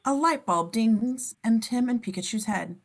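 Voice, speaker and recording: A woman's voice: a little high, expressive, measured pace. A woman reading scene descriptions in a casual amateur script read-through, as the narrator.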